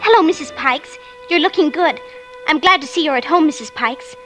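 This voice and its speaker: A woman's voice: high voice, moderate pace, lightly acted. A woman playing an earnest, frightened, insistent little girl in an old radio drama. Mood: timid, relieved. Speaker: lead character and narrator. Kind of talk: 1940s-50s radio drama acting. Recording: old radio recording with music.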